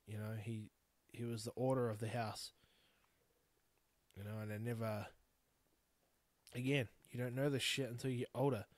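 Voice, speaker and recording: A man's voice: mid-range voice, level tone, normal speed, long pauses, no echo. A man in his thirties with a New Zealand accent, hosting a podcast alone, talking bluntly and frankly, swearing freely as he rambles. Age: 30s. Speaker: podcast host. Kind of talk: candid solo podcast monologue.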